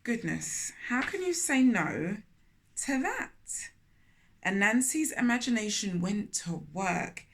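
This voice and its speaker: A woman's voice: mid-range voice, a bit bright. A woman hosting a children's read-aloud, telling the story expressively with chatty asides and questions to listeners.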